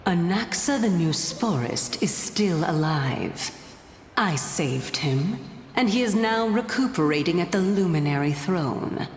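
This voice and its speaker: An unhurried, lowish-voiced female sage from a fantasy game. She is playful, enigmatic and poised, teasing without ever losing composure. Her voice rings in a reverberant hall with music behind it. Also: animated, a little hiss or hum. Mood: composed, confident. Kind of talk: video game voice acting.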